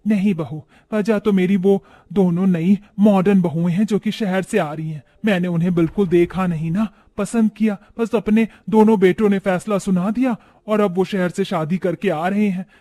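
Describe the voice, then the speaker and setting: A man acts out an older village mother-in-law in an animated moral tale. The voice is high-pitched and plaintive. She is traditional and exasperated, scolding with dramatic exclamations. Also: normal speed, hardly any pauses, close to an everyday voice. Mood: worried.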